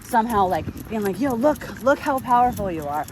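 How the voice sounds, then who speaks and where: A woman's voice: a little high, lively intonation, brisk, background noise. A woman in a casual philosophical chat, talking in a rambling way and often given to laughter.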